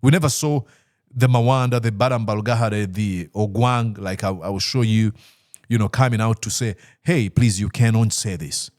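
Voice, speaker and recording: A man's voice: on the low side, fairly even intonation, moderate pace, no room sound, studio quality. A man from Uganda hosting a political talk show, speaking in a discursive way with frequent "uh" fillers and easy laughter. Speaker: host.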